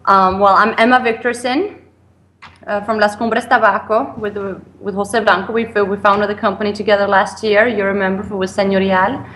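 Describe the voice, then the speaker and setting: A woman's voice: medium pitch, steady tone, moderate pace, fairly bright tone. Hesitant young woman, guest on a call. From Sweden, raised in France. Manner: hesitant, many ums and restarts. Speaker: guest, cigar company founder. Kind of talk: interview over a call.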